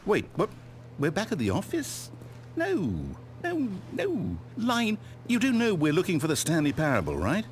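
A man's voice: fairly high pitch, wildly swooping pitch, normal speed, slight background noise. A man with a British accent playing a fussy, whimsical, easily exasperated storyteller in a video game, conversational and theatrical. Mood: exasperated, dismayed. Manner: conversational, self-interrupting, dramatic. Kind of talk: theatrical scripted narration.

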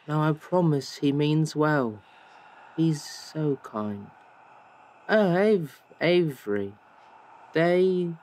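A man voicing a dazed, gentle injured woman sailor in a roleplaying game, speaking in a dreamy, faltering way. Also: fairly high pitch, voice pushed up, low-quality recording.

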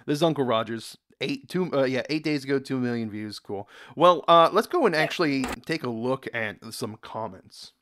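A man's voice: medium pitch, animated, normal speed, few pauses, fairly bright tone, a little hiss or hum. A young man from the United States hosting a casual reaction video, rambling, repeating words and reading comments aloud from a dim room.

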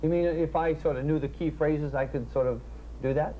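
A man's voice: fairly high pitch, steady tone, brisk. A man hosting a visit to a control room, asking casual questions. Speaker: visiting host, interviewer. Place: control room full of consoles. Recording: dull tape, faint room noise.

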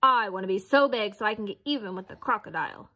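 Woman as a bright-voiced little storybook frog. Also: medium pitch, animated, quick pace, highs cut off. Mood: determined. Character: small frog in a children's storybook.

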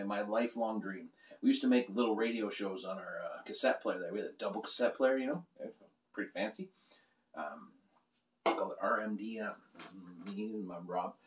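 A middle-aged man rambling and joking through a casual beer review, recorded indoors by a camera across the table. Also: on the low side. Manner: animated, joking, gestures a lot while talking. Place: small room lined with beer shelves, indoors. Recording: roomy, slightly distant sound.

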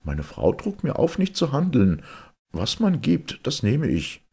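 A man voicing a simple, modest soldier archer in a fairy tale, plain and humble, with a husky voice. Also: medium pitch, huge pitch swings, a little slow, dark tone, pitched above the performer's own voice, exaggerated voice.

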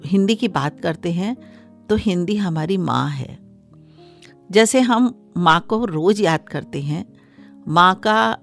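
A middle-aged woman explaining formally as a studio guest, pausing between phrases. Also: on the low side. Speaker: interview guest, Hindi scholar. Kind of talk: explanatory radio interview. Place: small acoustically treated radio studio. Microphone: close studio microphone on a desk stand.

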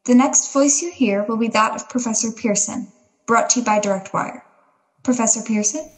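Woman playing a formal radio play announcer, slightly high voice. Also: moderate pace, natural voice, low-quality recording. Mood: formal, urgent. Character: formal female radio announcer introducing eyewitness. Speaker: studio announcer. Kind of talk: radio play acting, introducing a witness.

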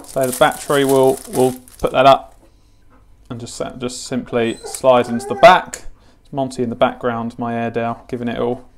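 Young british guy in his 20s, medium pitch, chatty matter of fact unboxing and how-to talk. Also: level tone, normal speed, slight background noise. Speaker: fishing channel host demonstrating gear. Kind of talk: casual product unboxing and tutorial. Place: home kitchen, indoors.

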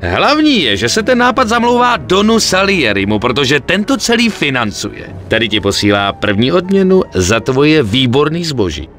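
An actor playing a chatty, eager young gangster schemer in a video game, talking colloquially. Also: male voice, fairly high pitch, hardly any pauses, soft background music. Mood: friendly. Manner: colloquial, animated storytelling. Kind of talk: video game cutscene acting in Czech.

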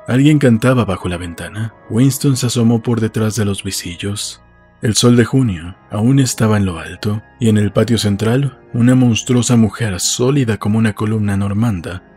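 Low-pitched man narrating a novel. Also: a little mellow, husky. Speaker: audiobook narrator. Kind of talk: scripted literary narration. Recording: music behind.

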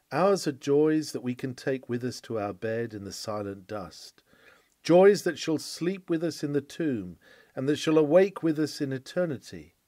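A man reading an old sermon aloud in a formal, declamatory style, with emphatic phrasing and deliberate pauses. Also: medium pitch, warm tone, dry recording, clean studio sound. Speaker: reader of a historic sermon. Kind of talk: oratorical sermon read aloud.

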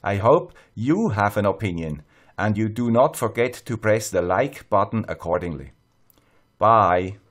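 A man with a Swiss accent narrating a scripted tutorial in a measured, plain-spoken, step-by-step way. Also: fairly low pitch, expressive, fairly bright tone. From Switzerland. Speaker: host of an electronics tutorial channel.

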